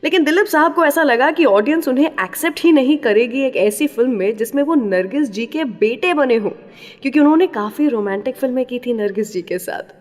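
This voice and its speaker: A woman's voice: high-pitched, lively intonation, fairly quick, a little mellow. A woman hosting a radio show, reading scripted narration and mixing English phrases into her talk. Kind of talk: scripted radio narration about classic films. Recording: long reverb, faint music behind.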